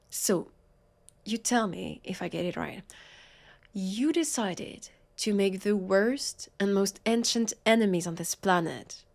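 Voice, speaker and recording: A woman with a French accent playing a weary, sardonic vampire police officer in an audio roleplay, halting and exasperated. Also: medium pitch, creaky. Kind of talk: scripted audio roleplay acting.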